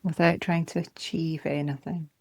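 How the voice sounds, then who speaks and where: A woman guides a body scan meditation in a lowish voice with a noticeable creak. She is calm and gentle, placing short, measured phrases one at a time. The sound is close and dry, with no room around it. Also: no room sound. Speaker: meditation teacher.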